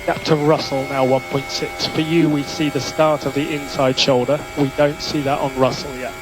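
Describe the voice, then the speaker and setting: A man's voice: fairly high pitch, flat delivery. Clipped male race engineer on noisy radio. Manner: clipped, measured, repeats instructions. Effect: team radio. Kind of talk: instructional radio messages to a racing driver. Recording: noisy motor racing team radio.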